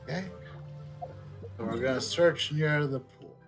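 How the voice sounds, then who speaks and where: A man's voice: fairly high pitch, unhurried, soft background music. A man giving casual video game commentary as a streamer, musing aloud as he plays.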